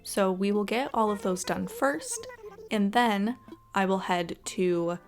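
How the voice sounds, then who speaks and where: A woman's voice: mid-range voice, slow. Woman doing casual game commentary, chatty and informal, bit of music behind her. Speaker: gaming video host.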